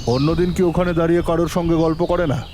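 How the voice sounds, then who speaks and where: A man's voice: a little high, fairly even intonation, noisy background, natural voice. Stern, probing police inspector played by a man. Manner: brisk, interrogating. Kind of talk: Bengali audio drama acting.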